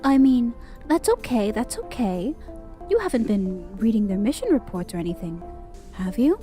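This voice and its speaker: Saccharine female bureaucrat boss, acted by a woman, high and swooping, condescending, for audio drama. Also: very animated, normal speed, warm tone, faint music behind. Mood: mock sweet. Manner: saccharine, condescending, falsely cheerful. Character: sugary, menacing female director of an otherworldly bureaucracy. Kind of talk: scripted audio drama acting.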